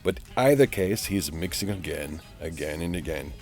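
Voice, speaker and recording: Man putting on a deep, pompous, melodramatic narrator. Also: lively intonation, darkish tone, soft background music. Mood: weary, sardonic. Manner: theatrical, pompous, drawn-out repetition. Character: pompous, exasperated, melodramatic male narrator mocking the painter. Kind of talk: mock-dramatic DIY voice-over narration.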